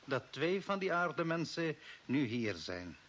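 A man's voice: a little high, sing-song, unhurried, a bit bright, full character voice. An actor playing a cold, authoritarian old man from Earth who serves the Martians in an older Dutch radio play, commanding and scornful. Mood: cold, firm. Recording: hissy, noisy old radio recording.

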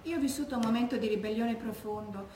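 A woman's voice: a little high, fast talker, warm tone, lightly acted. An actress playing an anguished bereaved daughter who recalls her stoic mother in an intimate, earnest theatrical monologue. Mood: pained, reflective. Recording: echoey room, faint background noise.

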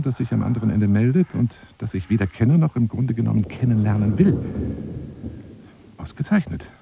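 A lonely, eccentric man wooing a woman in a radio play. His voice is deep and velvety dark. He speaks in a florid, self-dramatizing way, often sinking into hushed, confiding asides. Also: vocal fry, slight background noise.

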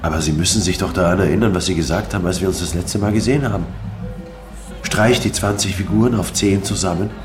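A man's voice: deep voice, fast talker, natural voice. An actor playing an earnest, fed-up playwright who defends his work in a theatrical German radio drama. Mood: weary, defensive. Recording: music under the voice.

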